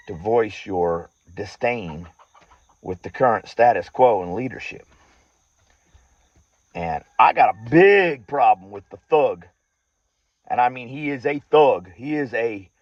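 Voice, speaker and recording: Male, mid-pitched, indignant and emphatic monologue, repeats phrases for weight, dull recording. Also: dry recording. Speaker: farmer and activist speaking to followers. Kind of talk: personal monologue to an audience, political grievance. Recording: dull lo-fi audio.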